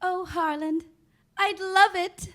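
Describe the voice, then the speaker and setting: A woman's voice: very high voice, steady tone, takes it slowly, pushed and bright, exaggerated voice. A woman playing an earnest, eager aspiring young actress in a live 1940s-style radio play, gushing and theatrical. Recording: live stage recording.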